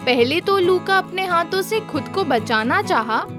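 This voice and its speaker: Casting: female children's-story narrator, high voice, unhurried delivery, soft music bed. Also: lively intonation.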